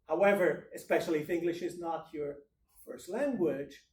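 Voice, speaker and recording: Man, Italian accent, fairly high voice, halting emphatic lecturer, reverberant room. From Italy. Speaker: university lecturer. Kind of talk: lecture explaining course assignments. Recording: echoey room, distant microphone.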